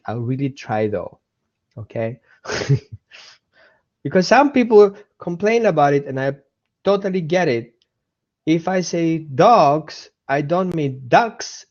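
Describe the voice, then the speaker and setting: A man's voice: on the high side, lively intonation, unhurried, a little mellow, dull audio. A man with an Austrian accent hosting a casual live dog-training webinar, chatting with viewers, repeating himself and greeting people by name.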